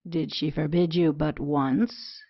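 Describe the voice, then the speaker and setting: A proud young gentleman suitor acted by a woman in an old stage comedy. The voice is quite low, with dry, wry, archaic phrasing. The sound is lo-fi and without room echo. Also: fairly even intonation, slow, gravelly, husky, full character voice. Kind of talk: period comedy audio play acting. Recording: dull, dry lo-fi audio.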